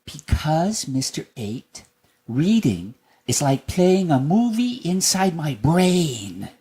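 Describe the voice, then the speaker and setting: A man voicing an eager, excitable young bunny who gushes and pleads. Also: a little high, slow pace, husky, pitched above the performer's own voice, full character voice. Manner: pleading, gushing.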